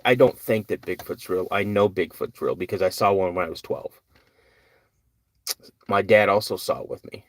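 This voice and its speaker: An older man with a fairly low voice talks casually to a live chat, wandering through his answers and often correcting himself mid-sentence. His voice sounds close and dry, with no room around it. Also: no echo. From United States. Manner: rambling, self-correcting, earnest.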